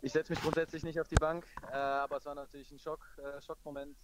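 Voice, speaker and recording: A man speaking as a football coach in a post-match interview, matter-of-fact and measured. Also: a little high, level tone, heavy background noise.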